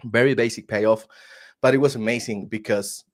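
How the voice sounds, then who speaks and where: A man's voice: fairly low pitch, fairly even intonation, moderate pace. A man from Costa Rica with a Costa Rican Spanish accent, telling his story earnestly as a guest on a video call, often restarting his words. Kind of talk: casual interview storytelling.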